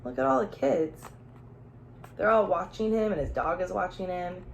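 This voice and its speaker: A woman's voice: lowish voice, expressive, moderate pace, highs cut off, slight background noise. A woman teacher reading a children's storybook aloud, expressively, with questions and asides addressed straight to young listeners.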